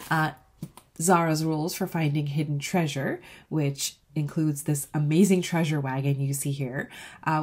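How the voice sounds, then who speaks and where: Low-voiced woman reading a children's book. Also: breathy edge. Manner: expressive, addressing young listeners. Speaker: author reading their own book. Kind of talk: children's storybook read-aloud.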